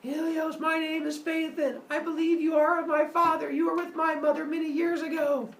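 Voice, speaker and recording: A man's voice: very high voice, little pitch movement, moderate pace, gravelly, a bit bright, voice pushed up, full character voice. A man voicing an eager, naive boy hero from Greek myth, childlike and earnest, in a storytelling. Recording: roomy, distant mic, faint noise.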